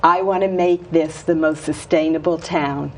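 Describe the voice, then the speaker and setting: A woman's voice: low voice, fairly even intonation, normal speed, a little hiss or hum. Enthusiastic woman hosting a local show. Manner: enthusiastic, gushing. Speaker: host. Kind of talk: casual interview chat.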